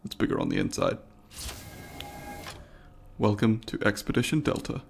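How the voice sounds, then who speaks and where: Man playing a gravelly, polite alien student. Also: fairly low pitch, wildly swooping pitch, moderate pace, a little hiss or hum, full character voice. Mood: friendly, brisk. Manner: formal, precise, inquisitive and apologetic. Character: polite, eager alien student studying humans. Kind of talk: ASMR roleplay, addressing the listener.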